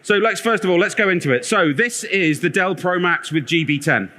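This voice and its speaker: Loud, bright male presenter, energetic and self-deprecating, live conference talk. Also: high voice, brisk. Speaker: conference speaker, product lead. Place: conference theatre.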